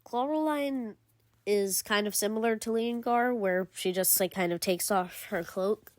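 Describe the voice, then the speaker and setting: A woman's voice: mid-range voice, measured pace. A woman giving casual commentary as a gaming video creator, rambling with lots of fillers and self-corrections. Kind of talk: casual game commentary ranking.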